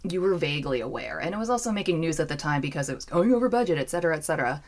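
A woman in her thirties hosting a podcast and chatting casually, talking in quick bursts. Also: low voice, expressive, darkish tone. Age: 30s. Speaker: podcast host. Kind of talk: casual interview chat.

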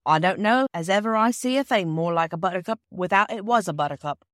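A woman's voice: fairly low pitch, fast, a bit bright, no room sound, close to an everyday voice. A friendly storybook porter, a working man in his thirties acted out by a woman reader. He talks in homely, ungrammatical phrases with a confiding manner. The sound is dry and close. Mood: genial, confiding. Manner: homely, ungrammatical, brief rustic speech.

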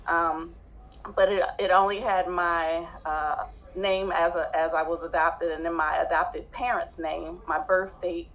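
A woman's voice: on the low side, steady tone, unhurried, a bit bright, a little hiss or hum. Woman with a slight Southern twang. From New Jersey. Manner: earnest, open, laughs easily. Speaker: guest, educator and author. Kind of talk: interview storytelling.

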